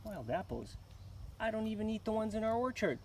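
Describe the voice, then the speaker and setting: A man's voice: sits high, very animated, brisk, pitched above the performer's own voice. A man voicing a picky, anxious young girl in a live folktale, with a pleading, exclaiming manner. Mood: disdainful. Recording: noisy live recording.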